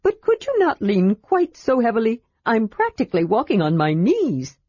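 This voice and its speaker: A woman doing a high excitable kender lad. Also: moderate pace, husky, no echo, exaggerated voice. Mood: eager. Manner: eager, indignant, excitable. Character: cheerful chatty young male kender. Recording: dull lo-fi recording.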